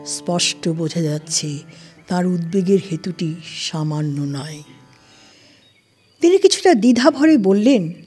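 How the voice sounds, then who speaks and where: A woman's voice: fairly low pitch, lively intonation, a little slow, pauses often, mellow, husky. A woman reading scripted story narration as the narrator, with plucked string music behind her. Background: plucked string instrument music.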